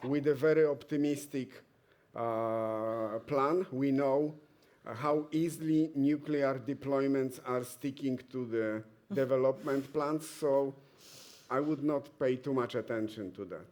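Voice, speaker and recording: A man from Poland answering a panel question for a photovoltaic association, measured and dryly skeptical. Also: medium pitch, steady tone, darkish tone. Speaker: solar association representative. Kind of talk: panel discussion.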